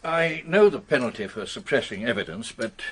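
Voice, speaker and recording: An actor playing a lovestruck British gentleman knight in a radio drama, husky and hesitant as he speaks earnestly. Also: mid-range voice, very animated, noisy background. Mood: earnest, defensive. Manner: hesitant, halting.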